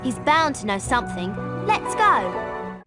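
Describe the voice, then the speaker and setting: A keen, bossy schoolgirl from a video game. Her voice is high and animated, earnest and brisk, over clear music. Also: heavily acted. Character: bright, bossy, studious young schoolgirl.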